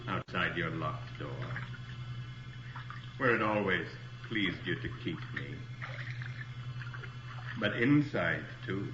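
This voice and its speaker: A man playing a coldly menacing betrayed husband in a radio horror drama, quiet and mockingly tender. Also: low-pitched, expressive. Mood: cold menace. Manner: slow, quiet, sinisterly tender. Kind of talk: 1980s radio horror acting. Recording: echoey, dull, noisy radio recording.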